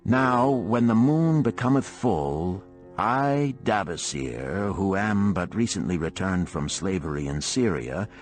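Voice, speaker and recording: A man voicing a resolute ancient Babylonian freed slave in an audiobook parable, solemn, archaic and deliberate. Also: low-pitched. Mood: solemn, determined. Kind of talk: parable read aloud in an audiobook.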